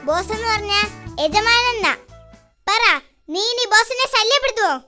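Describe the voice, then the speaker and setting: Children's cartoon role: demanding tomcat sidekick, extremely high squeaky childlike pitch, hungry and bossy, music underneath. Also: animated, normal speed. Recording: music behind the voice.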